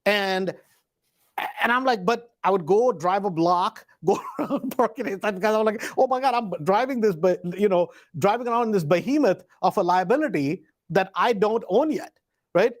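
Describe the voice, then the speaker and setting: Male host, high voice, informal raconteur, emphatic, slangy, acts out both sides of conversations. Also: moderate pace. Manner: animated retelling with acted dialogue, emphatic repetition. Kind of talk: casual anecdotal storytelling.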